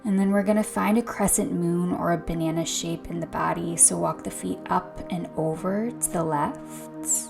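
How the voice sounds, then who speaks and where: A woman yoga instructor leading a gentle guided meditation in a soothing, hushed, unhurried way, with a soft voice that turns breathy at times. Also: on the low side, steady tone. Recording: soft music behind the voice. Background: music.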